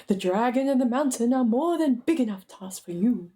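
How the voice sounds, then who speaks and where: Woman acting a stern, mellow-toned old wizard. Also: fairly high pitch, expressive, moderate pace, lightly acted. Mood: indignant, stern. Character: stern old male wizard, grave and impatient. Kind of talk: dramatic book reading.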